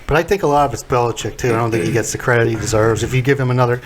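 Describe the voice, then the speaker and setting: A man's voice: medium pitch, steady tone, racing, a little hiss or hum. A man about forty, chatting bluntly on a podcast as a guest, swearing freely and often repeating himself. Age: 40s. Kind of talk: casual podcast chat.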